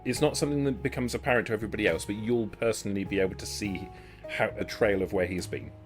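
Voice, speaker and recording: A man's voice: on the low side, quick pace, over music. A man running a tabletop game as game master, explaining what happens and making rulings.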